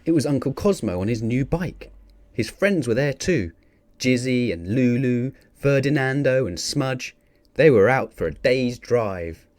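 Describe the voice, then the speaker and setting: A man's voice: medium pitch, expressive, measured pace, darkish tone, no room sound. A man narrating a children's picture book expressively, theatrical on the sound-effect words. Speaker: storybook reader.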